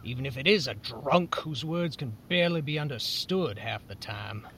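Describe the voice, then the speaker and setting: An actor playing an anxious, skeptical conspirator in a historical drama, speaking with pointed doubt. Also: male voice, mid-range voice, animated, moderate pace, a bit bright, slight background noise. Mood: scornful doubt.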